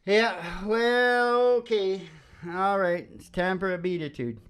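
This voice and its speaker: A man's voice: high-pitched, lively intonation, slow, a bit bright, clean tone. A man hosting a music show with casual, rambling, irreverent banter, joking and swearing between songs. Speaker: live music show host and singer. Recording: dull lo-fi recording with guitar.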